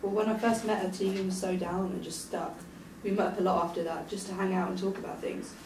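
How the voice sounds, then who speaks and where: A woman plays an encouraging, gently teasing young woman in a drama read-through. Her mid-pitched voice is picked up from a distance, with strong room echo. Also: flat delivery, fast, close to an everyday voice. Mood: earnest. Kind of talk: table read of a drama script. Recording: distant, noisy, echoey room recording.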